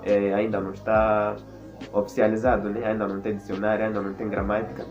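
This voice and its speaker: A man's voice: on the low side, completely flat delivery, fast talker, muffled recording, music in the background. A Mozambican man speaking Portuguese with a Mozambican accent, explaining things in a casual vlog and often repeating words while he searches for the next one. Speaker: vlogger.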